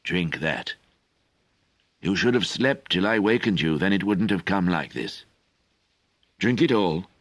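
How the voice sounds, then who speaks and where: A man reading an audiobook, voicing an old stooping hermit sage who speaks gently and steadily. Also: low voice, animated, a little slow, long pauses, no echo. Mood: gentle. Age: older.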